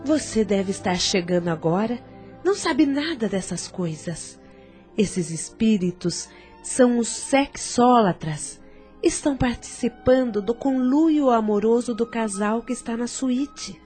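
A woman's voice: on the high side, lively intonation, unhurried, dark tone. A Brazilian actress playing a worldly, flirtatious female ghost in a radio drama, coaxing and teasing. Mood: knowing. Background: background music.

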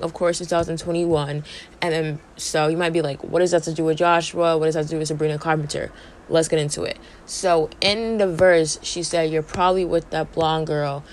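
Chatty young woman with a strained voice. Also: on the low side. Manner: chatty, fillers like "like" and "you know". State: losing her voice. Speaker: podcast host. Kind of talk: casual entertainment gossip commentary.

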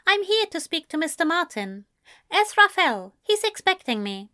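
A woman's voice: extremely high pitch, very animated, normal speed, pushed and bright, no room sound, studio quality, pitched above the performer's own voice, exaggerated voice. A woman voicing a polite, reserved young man who speaks formally. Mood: earnest.

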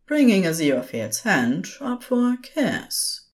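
A woman narrating scripted fan fiction as an expressive storyteller. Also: medium pitch, a little slow.

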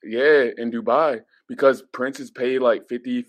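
A man's voice: mid-range voice, steady tone, a little slow, dry recording. A man talking in a casual, slangy and insistent way, as in a group podcast chat. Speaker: podcast panelist. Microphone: remote call mic.

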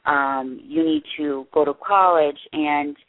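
A woman guest in an interview, speaking earnestly and haltingly with many restarts. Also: deep voice. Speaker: interview guest, production company head. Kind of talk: candid personal interview. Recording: tinny phone line.

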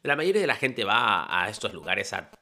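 Guy podcasting, chatty, voice jumps all over, lowish and a bit gravelly. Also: fairly quick. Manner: lively, chatty, swings from loud bursts to quiet asides. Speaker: podcast host. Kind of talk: casual explanatory podcast. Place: travelling in Italy.

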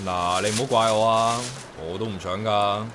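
A man's voice: fairly low pitch, steady tone, moderate pace, heavy background noise, close to an everyday voice. A man with a Hong Kong accent playing a grumpy, solitary, sarcastic man in a comic radio drama, grumbling and muttering to himself. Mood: reluctant, apologetic. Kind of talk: comic radio drama acting and inner monologue.

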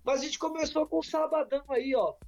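A man's voice: very high voice, brisk, a little mellow, dull audio. A young Brazilian man co-hosting a football podcast, chatting in a slangy, anecdote-filled way. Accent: Brazilian Portuguese. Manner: slangy, storytelling banter. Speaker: podcast co-host. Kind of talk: casual sports podcast chat.

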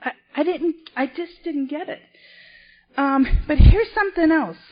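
Woman, convention speaker, high voice, restarts often, muffled hall recording. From South Boston, Massachusetts. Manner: self-deprecating, halting, frequent restarts. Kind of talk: personal recovery story at a podium.

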